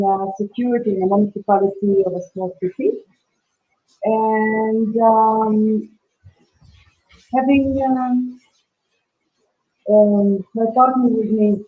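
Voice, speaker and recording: A woman from Israel, a guest in an interview, speaking hesitantly with many "um" pauses. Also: fairly high pitch, fairly even intonation. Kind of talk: casual interview. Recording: tinny phone-like call.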